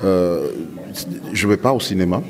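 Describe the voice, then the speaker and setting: A man's voice: deep voice, measured pace, a crowd in the background. A man from DR Congo who grew up in Switzerland, talking casually as an interview guest and hesitantly repeating words as he thinks. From born in DR Congo, grew up in Switzerland and Belgium.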